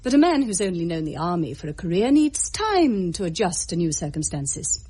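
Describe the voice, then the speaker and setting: An actress playing an anxious, well-bred lady in a period audio drama, husky, genteel and emotive. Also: on the low side, sing-song, moderate pace, mellow. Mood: reassuring.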